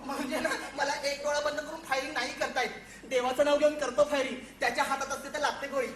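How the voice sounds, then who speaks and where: A woman's voice: sits high, steady tone, fast talker, a little hiss or hum, natural voice. A woman playing a naive, earnest young soldier son in a comic stage play, explaining things in a childlike, eager way. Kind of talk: theatrical one-act stage comedy acting. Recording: echoey stage recording with faint music.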